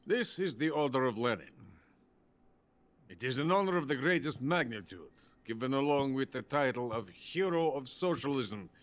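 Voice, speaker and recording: An actor playing a drunk, bitter, boastful older Soviet weapons scientist in a video game cutscene, talking pompously. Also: male voice, medium pitch, a bit bright, lightly acted. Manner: pompous, self-important.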